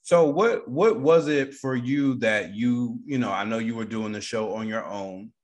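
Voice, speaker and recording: A man's voice: mid-range voice, a little slow. An American man hosting a casual interview, informal and encouraging as he talks with his guest. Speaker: interview show host.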